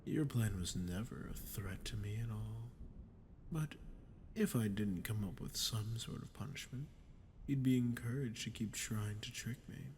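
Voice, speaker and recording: A man playing a sadistic, smug archdemon in an ASMR roleplay, talking down to the listener with slow, mocking condescension. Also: on the low side, darkish tone, slight background noise, close to an everyday voice. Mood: coolly menacing. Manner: mocking, condescending, slow taunting delivery with breathy drops. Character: sadistic, smug male archdemon toying with a captive ruler. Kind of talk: ASMR audio roleplay, dramatic monologue to the listener.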